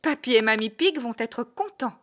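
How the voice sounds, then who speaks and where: A woman voicing a kindly, patient mother pig who explains things gently in a children's cartoon. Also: on the high side, lively intonation, normal speed, natural voice. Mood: patient, motherly.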